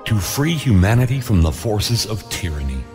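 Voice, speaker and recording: A man's voice: on the low side, very animated, moderate pace, a little mellow. A man narrating a scripted adventure trailer in a dramatic style. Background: music.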